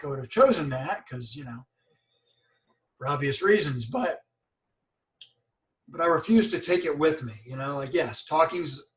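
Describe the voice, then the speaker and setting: A man in his forties hosting a podcast, talking candidly in a casual interview with frequent stuttering blocks and repeated syllables. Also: fairly high pitch. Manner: candid and earnest. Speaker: podcast host.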